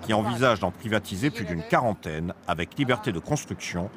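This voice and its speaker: Low-voiced male documentary narrator. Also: expressive, crowd noise. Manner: measured, grave. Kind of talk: scripted narration. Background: music under the voice.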